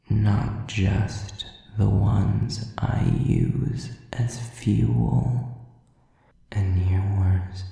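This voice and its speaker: A sadistic demon for an audio roleplay, mocking and seductive in a predatory way. The delivery is extremely slow and deliberate, slipping between whispers and a very dark, gravelly murmur. The voice rings in a heavily echoing space. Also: male voice, low voice, distant mic, heavily acted. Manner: slow, deliberate, intimate, often dropping to a whisper. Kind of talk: audio roleplay addressed to the listener.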